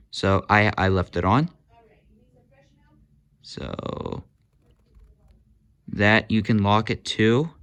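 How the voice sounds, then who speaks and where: A man's voice: on the low side, gravelly, fairly bright tone, no echo. A man casually demonstrating walkie-talkies, hesitant, with many fillers and corrections of himself. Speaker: gadget reviewer.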